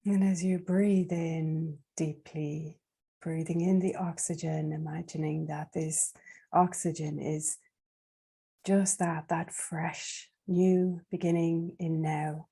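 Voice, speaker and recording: A woman leading a guided meditation in a soft, breathy, soothing voice, leaving long unhurried pauses. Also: deep voice, flat delivery.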